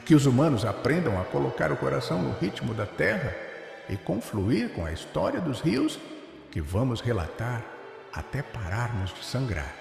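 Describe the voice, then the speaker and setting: Casting: male actor as a solemn wounded river spirit, Brazilian accent, gravelly, cavernous reverb, poetic storytelling piece. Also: medium pitch, sing-song, normal speed, darkish tone. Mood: yearning, solemn. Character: wounded, thirsty old river speaking with solemn longing. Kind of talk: dramatic poetic first-person narration. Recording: cavernous echoing reverb with faint music.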